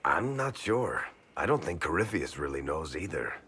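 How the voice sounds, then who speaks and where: Brief: world-weary fantasy storyteller, extremely deep voice, lively swings in pitch, wry and frank. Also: male voice, moderate pace, slight background noise, exaggerated voice. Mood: troubled, frank. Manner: casual, wry, emphatic storytelling. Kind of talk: video game voice acting.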